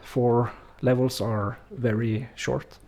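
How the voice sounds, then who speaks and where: A man's voice: medium pitch, slow. A man with a Norwegian accent, streaming a game and chatting casually with viewers, hesitant with many fillers. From Norway. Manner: relaxed, hesitant, many fillers, reads chat aloud. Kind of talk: casual let's-play chat with chat readers.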